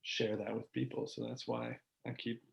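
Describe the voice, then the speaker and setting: A man hosting a casual conversational interview, relaxed and thinking aloud, often trailing off into pauses. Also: mid-range voice, vocal fry, lo-fi sound. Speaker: podcast host interviewing a guest. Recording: echoey room.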